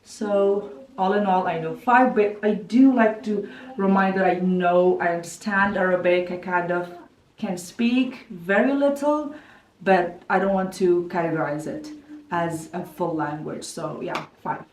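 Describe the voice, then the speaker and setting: A woman's voice: lowish voice, a little slow, a little hiss or hum. Woman, echoey room. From Kazakhstan. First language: Kazakh. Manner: chatty, relaxed, many "like" fillers. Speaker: vlogger giving a home tour. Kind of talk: casual house tour narration. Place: home living room and bedroom. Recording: echoey room, mic at a distance.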